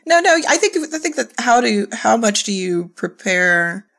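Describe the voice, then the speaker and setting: A woman's voice: a little high, very animated, normal speed, creaky, a bit bright. A woman talking casually on a podcast as a director and writing teacher, plainspoken and emphatic.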